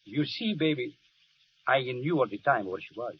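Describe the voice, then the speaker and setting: A man plays an effusive, sheepish Italian soldier in a radio play, with a very animated, sing-song delivery and an Italian accent. The vintage recording is dull and faintly noisy. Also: medium pitch, moderate pace, lightly acted. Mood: sheepish, affectionate. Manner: sing-song, broken English, affectionate. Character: effusive Italian army friend, affectionate and sheepish. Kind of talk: 1940s radio drama acting.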